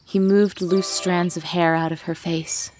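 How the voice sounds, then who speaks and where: Low-voiced woman narrating a dramatic story. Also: little pitch movement, a little slow, darkish tone, a little hiss or hum. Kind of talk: scripted dramatic fan fiction narration. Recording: music bed with birdsong behind.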